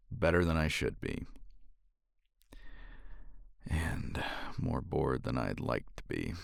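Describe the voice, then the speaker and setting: A man's voice: very deep voice, creaky, no echo, clean studio sound, full character voice. A man playing a cocky, weary champion competitor in an intimate romance ASMR roleplay, flirtatious and teasing, with languid sighs and murmured asides. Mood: teasing, confident.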